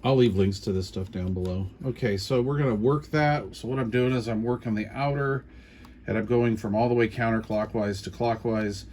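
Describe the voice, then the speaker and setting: A middle-aged or older American man giving an informal hands-on how-to explanation in an unhurried, halting, folksy manner. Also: lowish voice, steady tone, darkish tone. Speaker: repair tutorial host. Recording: clear background noise.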